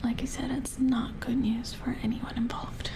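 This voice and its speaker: A woman softly whispering an ASMR roleplay as an engineer, murmuring gently and hesitantly to the listener. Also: on the high side, little pitch movement, moderate pace, creaky. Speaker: ASMR roleplayer playing a robot repair engineer.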